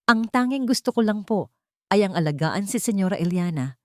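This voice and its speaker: A woman's voice: medium pitch, lively intonation, normal speed, dry recording, studio quality, lightly acted. A woman voicing a loyal, humble female housemaid who speaks deferentially in an audio drama. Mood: humble, polite. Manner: respectful, deferential.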